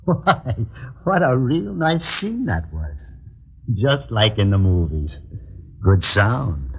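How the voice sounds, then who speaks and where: A low-voiced, menacing hired killer in an old radio thriller. He is rough and husky, taunting people in sneering gangster slang. The recording is dull and lo-fi. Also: very animated, slow pace, heavily acted. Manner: taunting tough-guy slang.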